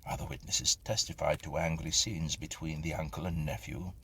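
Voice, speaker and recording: A man with a gravelly voice reads a Victorian ghost story as a measured, solemn narrator. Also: a bass voice, fairly even intonation, fairly bright tone, slight background noise. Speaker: reader and narrator of ghost stories.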